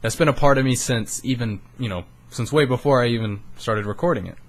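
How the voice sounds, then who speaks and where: A man's voice: medium pitch, a little fast. A young man of eighteen, a YouTuber, talking earnestly and rambling a bit on a studio microphone, swearing casually and sounding emotionally raw. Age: young adult. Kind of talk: candid personal vlog.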